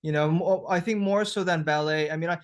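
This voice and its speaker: A man from Thailand, a musician guest, answering interview questions thoughtfully with frequent pauses and restarts. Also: a little high. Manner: thoughtful, hesitant, many restarts.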